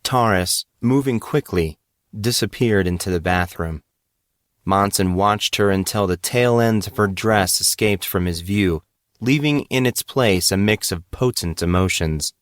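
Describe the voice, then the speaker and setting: A man with a low voice narrates a piece of fiction. His storytelling is measured and dramatic, clearly read from a script. The recording is dry, with no room sound. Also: no echo.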